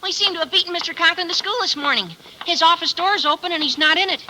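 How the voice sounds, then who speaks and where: An actor playing an eager, chipper teenage schoolboy with a high cracking voice and flowery, excitable delivery in a 1950s radio comedy. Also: male voice, fast talker, loud music behind the voice, low-quality recording. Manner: excitable, exaggerated. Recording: old radio broadcast recording.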